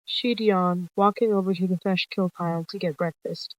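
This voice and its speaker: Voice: medium pitch, fairly even intonation, normal speed, dry recording, lo-fi sound. A storyteller reading out a scripted animal roleplay tale, narrating and voicing all the characters.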